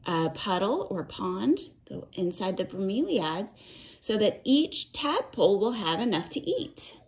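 A woman's voice: mid-range voice, sing-song, a little slow, darkish tone. A woman reading a picture book aloud to young children, with sing-song verse and simple explanations and questions. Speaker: read-aloud storyteller for children.

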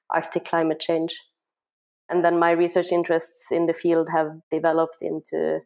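A woman from Norway speaks in a low, gravelly voice. She answers interview questions thoughtfully, with frequent fillers and restarts. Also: darkish tone. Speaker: social anthropologist guest.

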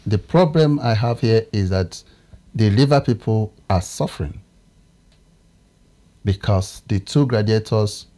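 A man in his thirties with a Nigerian English accent, a political analyst talking in a TV studio, often pausing to search for words. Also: fairly low pitch, dark tone. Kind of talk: studio discussion.

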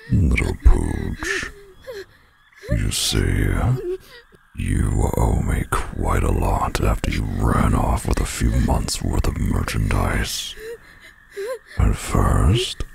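An actor playing a menacing, sadistic male werewolf pack leader in an audio roleplay, with a breathy growl and a taunting, mock-polite manner. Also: background noise, full character voice. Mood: taunting menace. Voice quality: very deep gravelly growl, often breathy. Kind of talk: dramatic audio roleplay acting.

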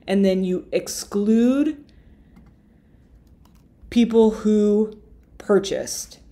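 A young woman walking viewers through a screen-share software tutorial in a relaxed, explanatory way, with fillers and self-corrections, recorded on a webcam in a small room. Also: medium pitch, extremely slow. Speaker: marketing tutor.

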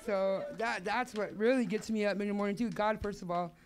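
A woman's voice: mid-range voice, fairly quick. A woman giving a fervent, devout testimony on a church stage into a handheld mic. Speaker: program graduate. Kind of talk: personal testimony.

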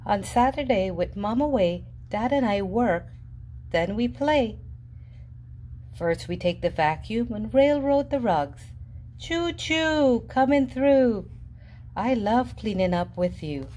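Slow, sing-song woman reading a picture book. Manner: lively sing-song storybook reading with playful pitch jumps. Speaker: children's book reader. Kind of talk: read-aloud of a picture book. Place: indoors at a table, reading an open book. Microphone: camera above the book, reader unseen.

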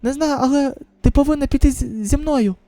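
A manga reader voicing an anxious, excitable young character with a high, stammering, pleading delivery. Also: brisk, no room sound. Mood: alarmed.

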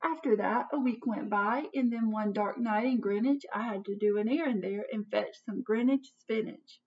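A woman's voice: medium pitch, moderate pace, breathy edge. A woman in her thirties reads a children's picture book aloud expressively and dramatically, like a teacher putting questions to young listeners. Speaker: children's story reader. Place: small home office, indoors. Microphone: computer webcam at desk distance. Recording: dull webcam audio, distant from mic.

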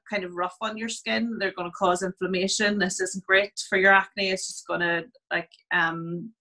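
A woman in her thirties with a bright, forceful voice walks through skincare advice on a video call. She talks casually, with frequent fillers, explaining each point in turn. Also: medium pitch, rough recording. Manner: chatty, many fillers, explains step by step. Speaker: skin clinic therapist.

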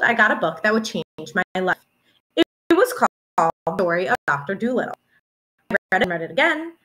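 A young woman reading a children's picture book aloud in an expressive, deliberate teacherly storytelling manner. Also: medium pitch, low-quality recording. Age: young adult. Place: small room at home, indoors.

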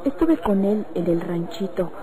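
Coy young Mexican ranch woman played by an actress, deferential and slow-spoken, old radio drama, light hiss. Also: mid-range voice, lively intonation, natural voice. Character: Celia, coy polite young country woman. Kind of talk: golden-age Mexican radio drama acting. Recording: faintly noisy old radio recording.

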